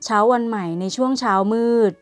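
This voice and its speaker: A woman's voice: on the high side, a little fast, no room sound. A woman storyteller reading a scripted bedtime tale for children. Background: music.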